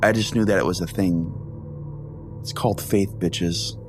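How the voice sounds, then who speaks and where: Guy reading his funny podcast story, deadpan, lowish voice, music going behind him. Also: measured pace. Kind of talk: scripted comedic first-person narration.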